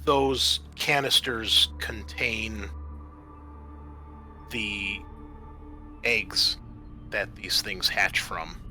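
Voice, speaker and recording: A man running a tabletop roleplaying game as game master, narrating in halting, pieced-together phrases. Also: lowish voice, sharp tone, faint music behind.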